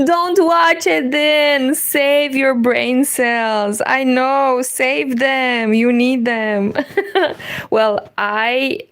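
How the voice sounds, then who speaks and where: A woman whose first language is Romanian, speaking English with a slight Russian accent, chatting casually and laughing while she crochets and reacts to videos. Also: high-pitched. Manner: relaxed, laughs often, slow and unhurried. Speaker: crochet vlogger and conference interpreter.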